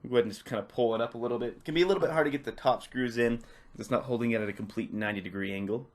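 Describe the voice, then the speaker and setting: A man narrating a step-by-step tutorial off the cuff, in a casual, instructional way with softened phrasing. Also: medium pitch, racing. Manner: casual, instructional, hedging with "kinda" and "a little bit". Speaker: repair tutorial presenter.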